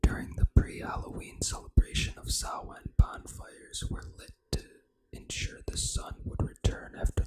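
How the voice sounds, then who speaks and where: An ASMR host reading aloud in a hushed, fully unvoiced whisper, with deliberate phrasing. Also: rough recording. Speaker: ASMR gaming channel host.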